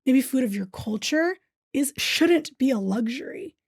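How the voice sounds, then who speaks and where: Brief: woman guest, somewhat high voice with gravelly fry, earnest and conversational, dry close sound. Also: lively intonation, a little slow, no echo. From Thailand. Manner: earnest, says "right?" often. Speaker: interview guest, business owner. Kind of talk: casual interview talk.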